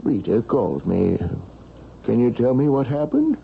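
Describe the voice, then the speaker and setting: An actor playing an earnest, probing doctor in a 1970s radio drama, questioning intensely and insistently. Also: male voice, medium pitch, big pitch swings, moderate pace, vocal fry, a little hiss or hum. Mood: calm, concerned. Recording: muffled vintage radio recording.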